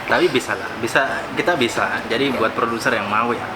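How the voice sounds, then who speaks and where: A man's voice: medium pitch, a little fast. A man hosting a casual chat, teasing his guest and laughing often, in a noisy recording. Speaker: host. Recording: loud background noise.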